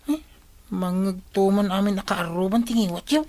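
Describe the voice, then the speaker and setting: A woman's voice: mid-range voice, expressive, normal speed, darkish tone, slight background noise, close to an everyday voice. A woman playing a hungry, complaining school-age child in an Ilocano comedy radio drama. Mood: questioning.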